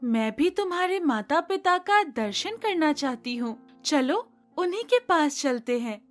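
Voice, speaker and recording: A woman voicing an earnest, polite young city-bred bride in an animated moral story. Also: high-pitched, a little slow, faint music behind. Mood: devoted, eager.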